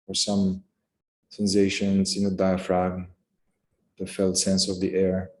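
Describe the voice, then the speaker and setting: A man leading a guided meditation in a calm, unhurried manner, speaking in short phrases with pauses between them. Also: deep voice. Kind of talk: guided meditation, unscripted instruction.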